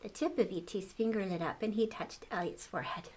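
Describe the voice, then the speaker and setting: A woman's voice: medium pitch, a little fast, darkish tone. An older woman reading a storybook aloud to children, expressively, with exclamations and questions to the listener.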